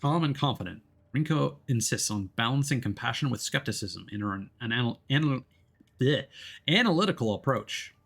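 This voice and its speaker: Read as a male streamer narrating a story game aloud, expressive and theatrical, slipping into casual asides between passages. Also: medium pitch, normal speed, vocal fry, slightly rough. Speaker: streamer playing a visual novel.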